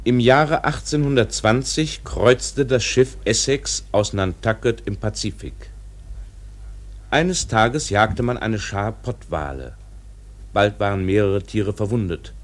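Casting: sober radio-drama chronicler, slightly deep, factual, measured delivery. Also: male voice. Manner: matter-of-fact, measured. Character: sober male chronicler reporting historical shipwrecks. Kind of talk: scripted factual narration in a radio play.

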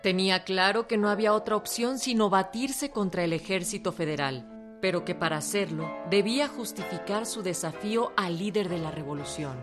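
A woman's voice: mid-range voice, normal speed, over loud music. A woman narrator giving scripted radio drama narration.

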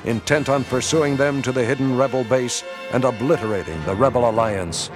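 A man's voice: medium pitch, brisk. A man delivering dramatic scripted narration as the narrator of a radio drama. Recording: radio drama with music behind.

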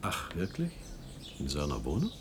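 A man's voice: extremely low pitch, huge pitch swings, moderate pace, background noise, exaggerated voice. An actor in a German radio play playing an inquisitive, gossipy man with an insistent, suspicious, probing manner. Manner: probing, insinuating, emphatic.

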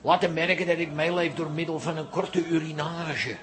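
A man's voice: a little high, steady tone, moderate pace, a bit bright, close to an everyday voice. A man playing a hapless, chummy pub regular in a Dutch radio comedy, rambling along in an earnest, stumbling way. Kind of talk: Dutch radio play acting.

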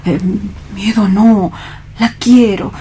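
A woman playing a timid, dreamy male clerk in a radio theatre reading, hesitant and anxious, with a husky, breathy voice and an accent from Spain. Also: mid-range voice, steady tone, takes it slowly, dark tone, a little hiss or hum, lightly acted. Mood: dreamy dread. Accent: Spanish (Spain). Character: timid, dreamy male bank clerk afraid of real love.

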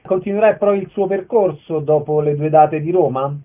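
Higher-voiced male radio host, dull sound. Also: moderate pace. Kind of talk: radio interview hosting with announcements.

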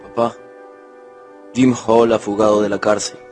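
A man's voice: on the low side, little pitch movement, a little slow, darkish tone, faint music behind, natural voice. A man playing a playful, caring adult son and dog owner in a radio drama. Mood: worried.

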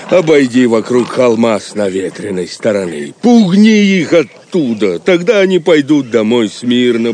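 A man playing a gruff, grumbling swineherd serf in an audio drama, with an earthy delivery. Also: on the high side, background noise. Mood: exasperated.

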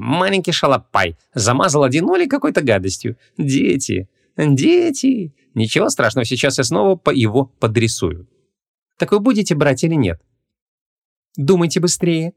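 Shopkeeper swindler: oily, wheedling, mock-offended, highly animated delivery, very dry storybook recording. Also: male voice, moderate pace, vocal fry, no echo, exaggerated voice. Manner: oily, ingratiating, theatrically indignant. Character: sugary, wheedling male antique shop swindler.

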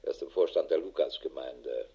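An actor playing a harried, middle-aged police investigator in a radio drama, matter-of-fact and dry. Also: male voice, rumbling low, a little fast, mellow, husky, highs cut off. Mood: sober, dictating. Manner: matter-of-fact, dry, clipped official phrasing. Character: harried, world-weary male police investigator with a wife. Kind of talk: German radio drama acting, dictated report.

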